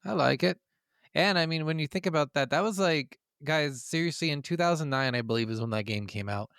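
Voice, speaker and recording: A young man with a slightly high, clean and smooth voice, talking as a gaming podcast co-host. He is casual and blunt, swearing freely and stressing his opinions. The sound is close and dry. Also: brisk, fairly bright tone, no echo. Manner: profane, emphatic, opinionated.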